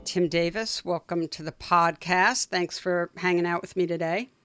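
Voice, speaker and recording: A woman's voice: fairly low pitch, steady tone, moderate pace, a bit bright, faint music behind. A woman hosting an interview podcast, easygoing, with fillers as she asks her questions. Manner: easygoing, laughs along, uses fillers. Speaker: podcast host. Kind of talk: casual interview conversation.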